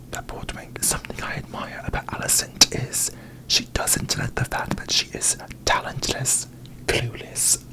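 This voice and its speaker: A man voicing a haughty, bitter young fantasy queen in a breathy ASMR whisper, with pompous, formal diction, as parody. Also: close to an everyday voice, poor audio.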